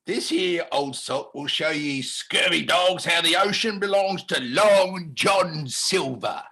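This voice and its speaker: A performer voicing a salty, blustering cartoon bug who plays a fearsome pirate captain, gravelly and husky, in growling pirate dialect with theatrical menace. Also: mid-range voice, slow, bright, forceful tone. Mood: menacing, swaggering. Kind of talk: animation table read.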